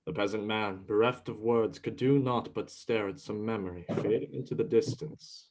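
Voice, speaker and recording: A man's voice: lowish voice, fairly even intonation, normal speed, darkish tone, low-quality recording. A man reading scripted narration for a dramatic reading of a myth play.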